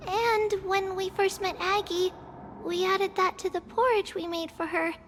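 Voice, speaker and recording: A woman's voice: very high voice, steady tone, a bit bright, a little hiss or hum. A woman voicing a small, innocent, childlike girl in a video game. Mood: earnest explaining. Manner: childlike, simple halting phrases. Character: tiny childlike girl, innocent, timid, speaks in third person. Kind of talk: scripted video game drama acting.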